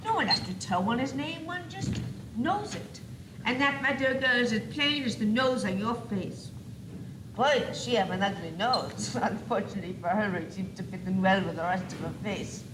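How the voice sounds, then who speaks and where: A woman's voice: mid-range voice, lively intonation, moderate pace, lightly acted. Girl or woman playing pompous French-accented baby boy doll. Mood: indignant, pompous. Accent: put-on French. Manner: pompous, theatrical. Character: haughty four-month-old baby boy doll, self-styled Frenchman. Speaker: student actor in a school play. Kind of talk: stage one-act comedy acting. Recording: echoey, noisy 1985 school video tape.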